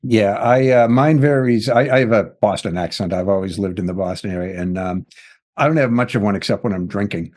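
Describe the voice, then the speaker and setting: A man's voice: on the low side, fairly quick, a little mellow. A man hosting a podcast interview, chatty and self-deprecating, with lots of "you know" fillers. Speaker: podcast host. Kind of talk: casual interview chat.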